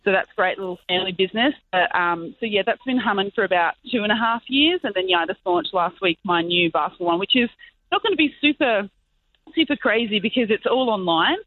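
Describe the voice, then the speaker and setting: An upbeat young Australian woman talks fast and freely over a tinny phone line. Her voice is fairly low for a woman. She fills her speech with "like" and "you know". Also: animated, no echo. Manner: upbeat, talkative, many "like" and "you know" fillers. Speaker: guest, professional basketball player. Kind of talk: casual interview chat.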